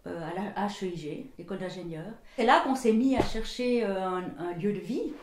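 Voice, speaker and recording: A woman in her sixties, from Belgium, speaking as a visual artist in a portrait interview, thoughtful and searching for words, her sentences often trailing off softly. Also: medium pitch, lively intonation, a little fast, roomy sound, a little hiss or hum. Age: 60s. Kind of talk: reflective documentary portrait interview.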